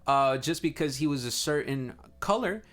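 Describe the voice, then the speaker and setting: A man chats as a podcast co-host in a medium-pitched voice. His talk is laid-back and informal, sprinkled with slang. It sounds like easy conversation between co-hosts. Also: lively intonation, moderate pace, a bit bright. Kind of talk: casual chat.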